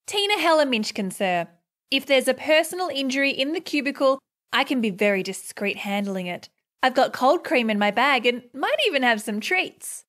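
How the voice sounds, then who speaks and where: A high-pitched, earnest female office worker, played by a woman in an audiobook. She is polite, eager and officious toward her superior. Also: lively intonation, moderate pace, a bit bright. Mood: officious, helpful.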